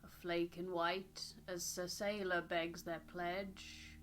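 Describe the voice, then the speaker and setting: A woman's voice: lowish voice, flat delivery, takes it slowly, slight background noise. A woman co-hosting a game playthrough, reading game text and verse aloud. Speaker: co-host of a voiced game playthrough.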